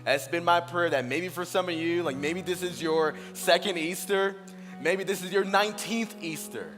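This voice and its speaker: A pastor in his thirties sharing his story in an Easter sermon, earnest and personal, slowing down on key phrases. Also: high-pitched, fairly bright tone. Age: 30s. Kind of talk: Easter sermon, shared testimony. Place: large church auditorium. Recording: reverberant hall with music underneath.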